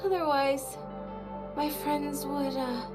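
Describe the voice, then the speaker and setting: A woman's voice: high-pitched, fairly even intonation, takes it slowly. An actress voicing a young female android soldier in an English anime game dub, stubborn and earnest, speaking in blunt, terse phrases. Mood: subdued. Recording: music behind the voice.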